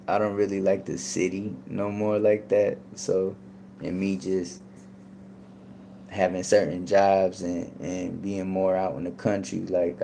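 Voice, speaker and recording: A man's voice: low voice, vocal fry, mellow, a little hiss or hum. A man talking casually as a guest, laid-back and rambling with lots of fillers. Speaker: podcast guest. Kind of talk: casual storytelling.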